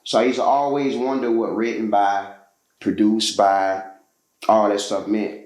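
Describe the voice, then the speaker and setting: A man's voice: on the low side, fairly even intonation, a little slow, a little mellow, echoey room, rough recording. A man from Georgia, a musician telling his story as a guest in a casual interview, loose and slangy with lots of fillers.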